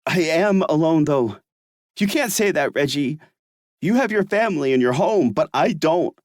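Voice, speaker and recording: A man voicing a volatile, distraught young male tennis pro in an audiobook, yelling and swearing in anguish. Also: on the high side, lively intonation, unhurried, no room sound, clean studio sound, pitched above the performer's own voice.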